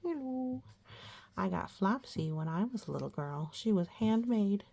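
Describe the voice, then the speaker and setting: Çocuk kitabı okuyan, ağır tempolu bir kadın. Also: female voice, mid-range voice, animated, measured pace, vocal fry, warm tone. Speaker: read-aloud storyteller. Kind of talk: reading a children's picture book aloud with chatty asides.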